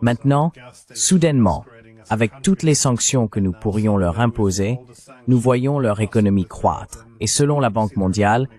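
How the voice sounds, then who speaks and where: Low-voiced man, formal interviewer voice-over. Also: expressive, moderate pace, darkish tone. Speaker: translated voice-over of the interview host. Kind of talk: translated voice-over of interview questions.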